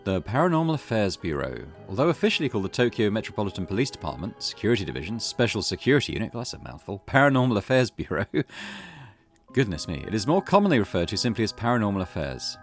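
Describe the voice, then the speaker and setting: A man's voice: lowish voice, very animated, quick pace. A man hosting a gaming video, reading game text aloud with casual commentary. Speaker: gaming channel host. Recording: music behind the voice. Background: game music.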